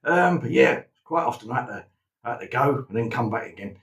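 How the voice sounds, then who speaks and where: A man's voice: mid-range voice, expressive, fairly quick, gravelly, a bit bright, dull audio. A man hosting a live stream, chatting in a rambling way full of ums and restarts. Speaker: host. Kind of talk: live-stream chat with callers. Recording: echoey room on a live stream.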